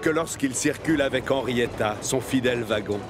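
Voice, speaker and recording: A man's voice: mid-range voice, brisk, over loud music. A man narrating a children's cartoon in a scripted storytelling style.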